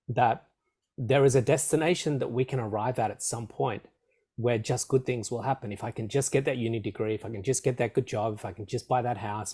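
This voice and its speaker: A man with a croaky, tickly throat explaining ideas as a meditation teacher, building emphatic, repetitive lists. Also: medium pitch, dark tone. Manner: emphatic, repetitive, list-like phrasing. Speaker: meditation teacher, podcast guest. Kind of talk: explanatory interview talk.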